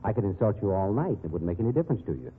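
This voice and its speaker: An actor playing a brash, wisecracking but big-hearted private detective in an old-time radio drama, with blunt, slangy delivery. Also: male voice, lowish voice, very animated, very fast. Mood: blunt, challenging. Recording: lo-fi, hissy old radio recording.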